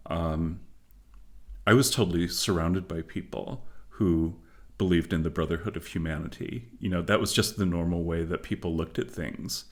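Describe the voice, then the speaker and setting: A middle-aged man, a philosopher and author, speaking as a podcast guest in a measured, reflective way with frequent pauses and fillers. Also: low-pitched. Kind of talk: podcast interview.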